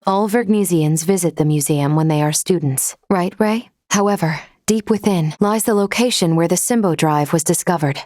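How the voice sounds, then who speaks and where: A woman playing a logical, composed female android officer in a video game, speaking formally and precisely in a measured, unemotional way. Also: fairly low pitch, darkish tone, dry recording, clean studio sound, lightly acted. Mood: calm, explanatory.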